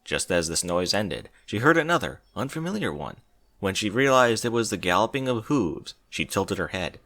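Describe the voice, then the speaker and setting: A man reading a story aloud as narrator, in an expressive scripted style. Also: on the low side, normal speed, no room sound. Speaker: fan fiction narrator.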